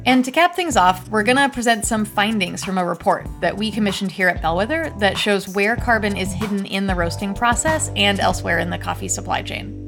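A woman from the United States hosting a podcast, reading scripted narration in a clear, upbeat way. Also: mid-range voice, a little fast, music in the background. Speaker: podcast host.

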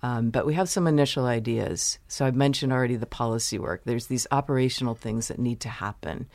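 Woman guest, from the US, measured and explanatory, close dry mic. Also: rumbling low, vocal fry, a little mellow, dry recording. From United States. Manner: measured, explanatory, pauses to choose words. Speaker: university vice president, interview guest. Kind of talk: interview answers.

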